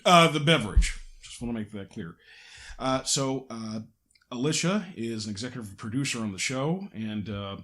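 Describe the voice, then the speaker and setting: Male podcast host, mid-pitched, casual and self-deprecating, with a hesitant, joking delivery. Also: animated, moderate pace, fairly bright tone. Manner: jokey, self-deprecating, hesitant with false starts. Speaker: podcast host and audio drama creator. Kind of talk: casual behind-the-scenes podcast chat.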